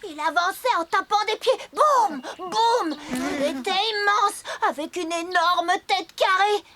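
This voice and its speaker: Very high little cartoon elephant girl. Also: measured pace, crowd noise. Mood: excited. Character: lively, curious little girl elephant, eager and chatty. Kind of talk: children's cartoon voice acting. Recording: music behind. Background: children's music.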